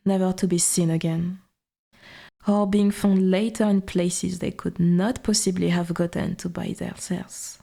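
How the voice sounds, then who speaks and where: A woman voicing an ancient, dominant forest god in an ASMR roleplay, speaking in formal, archaic wording with a breathy half-whisper and quiet menace. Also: lowish voice.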